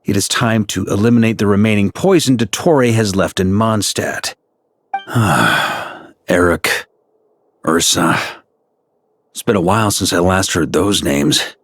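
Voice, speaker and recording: A man's voice: fairly low pitch, a little slow, long pauses, fairly bright tone. An actor playing a tired, grave male official in a game. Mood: weary.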